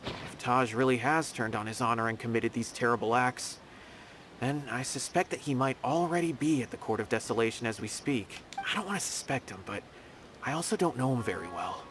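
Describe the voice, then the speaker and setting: A man's voice: medium pitch, a little fast, a bit bright, a little hiss or hum, lightly acted. A man voicing an earnest, anxious, loyal junior investigator in a video game, speaking expressively. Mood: grave, troubled. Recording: background music.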